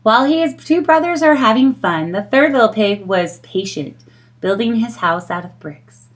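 A middle-aged woman reading a children's storybook aloud in an expressive storytelling style. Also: on the high side, moderate pace.

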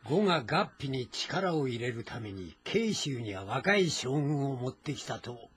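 A man playing a shrewd, deferential elderly court adviser with a raspy voice in a historical drama. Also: mid-range voice, big pitch swings, measured pace, a bit bright, exaggerated voice. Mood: measured, advising.

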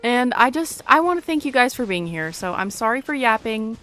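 A woman's voice: fairly high pitch, big pitch swings, a little fast, low-quality recording. Chatty young woman doing gaming commentary. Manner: gushing, self-interrupting, playful. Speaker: gaming video creator. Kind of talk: casual chatty game playthrough commentary. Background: soft game music.

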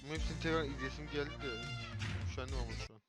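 A man's voice: fairly high pitch, steady tone, racing. A man chatting casually while reacting to cartoons. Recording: music playing behind the voice. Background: cartoon theme music.

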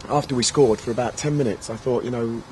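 Man, 30s, football manager, post-match answers, measured and filler-heavy, recorders outdoors, light street noise. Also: mid-range voice, steady tone. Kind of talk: post-match press interview. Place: outdoor press area by a sponsor backdrop. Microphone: handheld recorders held close.

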